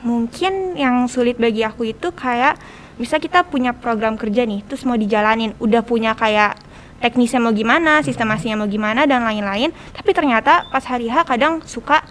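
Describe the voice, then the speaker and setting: Podcast guest: teen girl student leader, Jakarta accent, fairly high voice, conversational, recorded with ambient noise. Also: brisk, a bit bright. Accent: Jakarta Indonesian. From Indonesia. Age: teens. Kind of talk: casual conversational interview. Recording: noticeable background noise and ambience.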